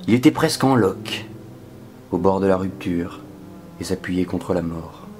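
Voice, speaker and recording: A man performing a dramatic spoken-word poetry reading in a solemn, measured manner that can sink toward a whisper. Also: low-pitched, animated, music in the background. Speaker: poetry reader.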